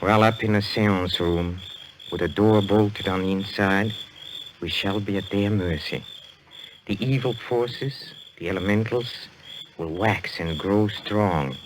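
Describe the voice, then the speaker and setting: A suave spirit medium speaks in a low voice. He is courtly and mockingly polite, with an ominous theatrical edge. It sounds like an old radio thriller with light background noise. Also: slow pace. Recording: noisy old radio drama recording.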